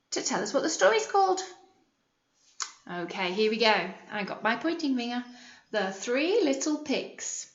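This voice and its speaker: A British woman, a primary school teacher, reading a picture book aloud to young children and pausing to explain and ask simple questions. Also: on the high side, roomy sound, lo-fi sound.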